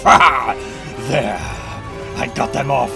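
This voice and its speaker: A man's voice: mid-range voice, gravelly. A man playing a boastful, menacing supervillain scientist with mechanical arms in a comic book dramatic reading. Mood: angry. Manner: slow, menacing, theatrically boastful. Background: loud music.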